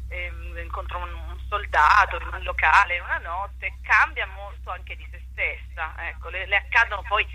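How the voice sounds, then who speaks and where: Bright, husky woman on a phone call. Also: medium pitch, no room sound. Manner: hesitant, self-correcting explanations. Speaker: stage actress interviewed as guest. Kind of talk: conversational phone interview.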